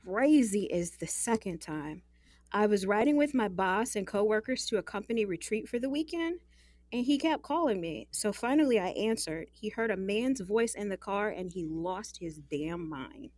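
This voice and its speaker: A woman's voice: mid-range voice, lively intonation, moderate pace, a little mellow, no room sound, lightly acted. An actress as a young woman in an audio drama, close and dry in sound, with a mid-range voice. She is sardonic and guarded, recounting things in a frank, fed-up way. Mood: exasperated, wry.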